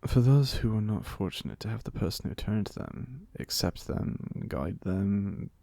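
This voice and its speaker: A man acts out an ancient but genial British vampire giving an interview in an audio roleplay. His speech is courteous and slightly formal, with lively swings in pitch and a creaky edge. The sound is close and dry. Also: unhurried, no echo. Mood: gentle, sincere. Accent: British, put on by an American. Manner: polite, formal turns of phrase, wryly conversational. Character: genial, chatty, courteous centuries-old male vampire being interviewed. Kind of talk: ASMR audio roleplay monologue.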